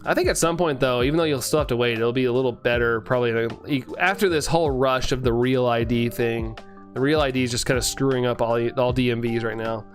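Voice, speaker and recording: A young man streaming and chatting casually as he reads comments, laughing often, with a voice that keeps giving out. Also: medium pitch. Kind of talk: casual live-stream chat reading comments.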